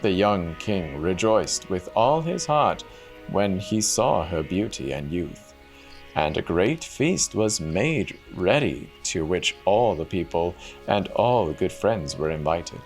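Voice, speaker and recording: A man's voice: low voice, very animated, slow, soft background music. A man giving a dramatic reading of a fairy tale as a storyteller.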